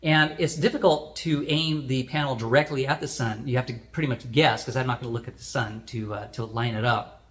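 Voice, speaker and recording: A man's voice: mid-range voice, fairly even intonation, fairly quick. Man plainly explaining a product review.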